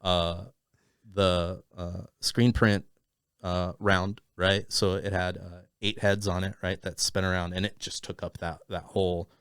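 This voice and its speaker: A man with a very low voice telling his story as a podcast guest. He rambles in easy conversation, full of "you know" and restarts. The sound is close and dry, with no room echo. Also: little pitch movement, a little slow, fairly bright tone, clean tone. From Thailand, raised in Arizona. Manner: rambling, many fillers and restarts. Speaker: podcast guest, business owner.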